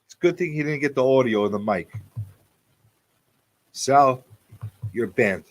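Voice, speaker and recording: A man's voice: medium pitch, a little slow. A man in his forties hosting a casual live talk show, repeating phrases for emphasis as he talks off the cuff. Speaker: radio show host.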